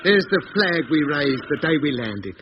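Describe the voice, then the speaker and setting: A man's voice: on the high side, very animated, a little slow. An actor playing a homesick, plain-speaking Moon rocket crewman in a 1950s radio drama. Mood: relieved, wistful. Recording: muffled, hissy 1950s radio recording.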